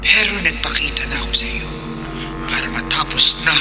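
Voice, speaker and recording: An actress playing the vengeful ghost of an old grandmother in a radio horror drama, speaking slowly with accusing menace. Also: low voice, animated, over music. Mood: vengeful, menacing.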